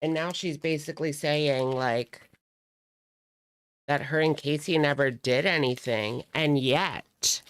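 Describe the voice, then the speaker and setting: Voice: mid-range voice, slow, a bit bright, smooth. A streamer giving casual reaction commentary in a gossipy, sardonic, conversational way.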